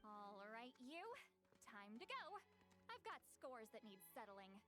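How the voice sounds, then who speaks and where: A woman's voice: a little high, big pitch swings, takes it slowly, pushed and bright, soft background music, full character voice. An actress voicing a feisty, theatrical young woman in an anime game, dramatic and emphatic in delivery. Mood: flustered, bossy.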